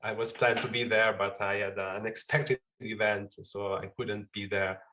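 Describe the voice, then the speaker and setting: A man's voice: lowish voice, fairly even intonation, normal speed, pushed and bright. A man joining a panel remotely, greeting everyone politely and formally. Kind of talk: roundtable introduction.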